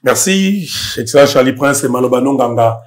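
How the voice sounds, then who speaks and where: A man's voice: medium pitch, animated, normal speed. A man hosting a casual studio discussion, thanking guests and passing the talk along. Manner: short agreeing interjections. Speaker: host.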